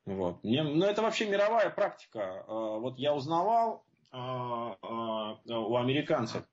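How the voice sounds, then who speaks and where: A man with a husky voice giving an informal, opinionated spoken answer as a guest speaker, explaining point by point. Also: mid-range voice, sing-song, a little slow. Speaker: guest speaker at support group seminars. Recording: dull lo-fi audio.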